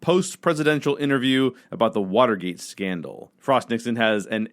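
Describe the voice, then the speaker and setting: A man's voice: mid-range voice, expressive, normal speed. A man presenting a scripted rundown of entertainment news for a podcast.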